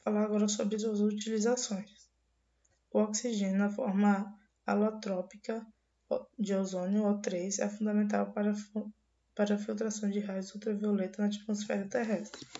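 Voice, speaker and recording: A young woman, a university student, giving a class presentation while hoarse from a cold, reading from slides and often restarting words. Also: medium pitch, little pitch movement, moderate pace, dark tone, dull audio. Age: young adult.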